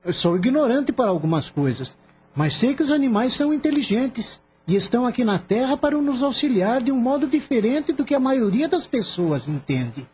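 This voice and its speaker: Guy doing a sweet earnest young assistant, super high voice, polite, radio drama, slightly muffled noisy audio. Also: big pitch swings, moderate pace. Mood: earnest, pleading. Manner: polite, deferential, heartfelt. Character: gentle, earnest young male farm-vet assistant. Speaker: voice actor. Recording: dull lo-fi audio, faint background noise.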